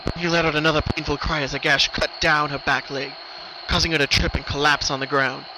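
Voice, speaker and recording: Man narrating dramatically over clear background noise. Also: level tone, a little fast. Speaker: narrator of horror fan fiction. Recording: noisy recording, rumbling ambient background.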